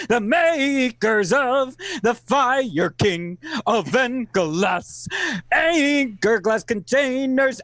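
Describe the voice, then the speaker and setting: Man as a very high-voiced old-time radio announcer. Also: fairly bright tone, no room sound, heavily acted. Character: booming formal 1940s radio network announcer.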